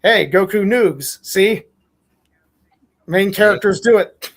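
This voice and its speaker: A man's voice: high-pitched, slow pace, exaggerated voice. A man voicing an easygoing, fond father from an anime fanfic. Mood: playful.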